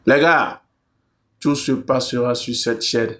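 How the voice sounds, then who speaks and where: Slow-talking male channel host. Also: medium pitch, steady tone, a bit bright. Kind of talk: casual video outro addressed to viewers.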